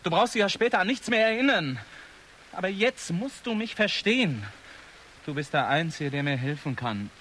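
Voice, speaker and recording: A man's voice: sits high, lively intonation, moderate pace, pushed and bright, a little hiss or hum. An actor playing a troubled, secretive son in his twenties, subdued but insistent, in a German radio drama. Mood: pleading, urgent. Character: troubled 23-year-old son, secretive, pleading, confessing killer.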